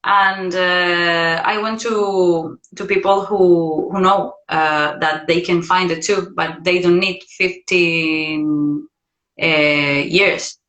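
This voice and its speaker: Slow-talking bright-voiced woman in her thirties. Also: on the low side, echoey room. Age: 30s. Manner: hesitant, many fillers and restarts, lively when acting out memories. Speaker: vocal coach. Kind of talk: casual livestream chat. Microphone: phone or laptop on a video stream.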